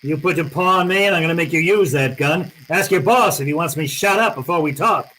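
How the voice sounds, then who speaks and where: A man's voice: a little high, a little fast, sharp tone, slight background noise, low-quality recording. A man playing a tough, wry private eye in a radio noir drama, terse and slangy, both narrating and in dialogue. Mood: tough, defiant. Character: wry, tough, hard-boiled male private detective.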